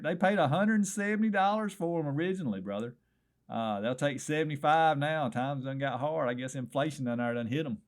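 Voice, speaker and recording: A hoarse middle-aged man with a thick Southern American drawl rattles off items like a small-town radio swap shop host. He is folksy and wisecracking and keeps calling the listener "brother." His voice sits at an ordinary pitch for a man.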